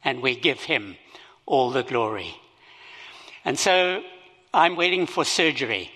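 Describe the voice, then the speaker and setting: Slow-speaking older Zimbabwean man. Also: mid-range voice, expressive, bright, forceful tone, slightly rough, long reverb tail. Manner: slow, halting, with many fillers. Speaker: missionary speaker. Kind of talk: sermon.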